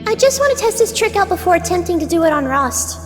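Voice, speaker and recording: A girlish, immature magical being with an extremely high voice. She is flippant and stubborn, talking casually like a kid in a fantasy comic dub. The sound has long reverb with music behind it. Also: big pitch swings, fast. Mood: dismissive. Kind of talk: scripted fan comic dub acting.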